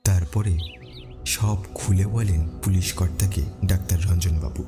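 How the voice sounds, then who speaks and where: A man narrating a horror story dramatically, with suspenseful pauses. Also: low voice, fairly even intonation, music in the background.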